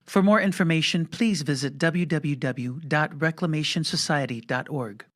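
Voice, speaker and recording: An announcer giving a formal, measured and solemn scripted reading for an audiobook. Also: medium pitch.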